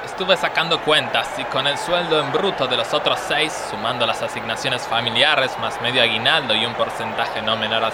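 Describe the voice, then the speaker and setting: A man plays a practical factory worker in an Argentine radio comedy. His voice is medium in pitch, earnest and questioning. Noticeable noise sits behind him. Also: lightly acted. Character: earnest, calculating, doubtful male factory worker. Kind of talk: comedic radio play acting. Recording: noisy background.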